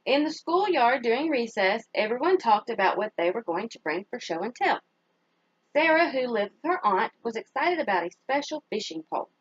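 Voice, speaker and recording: A woman reading a children's story aloud as a teacher would, pausing to put simple questions to young listeners and praise their answers. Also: fairly high pitch, sing-song, slightly rough, lo-fi sound.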